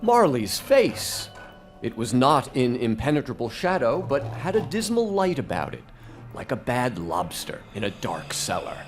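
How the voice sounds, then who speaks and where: A man's voice: medium pitch, wildly swooping pitch, unhurried, soft background music. A man narrating a live radio drama in a dramatic storytelling style. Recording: faint background noise, live stage.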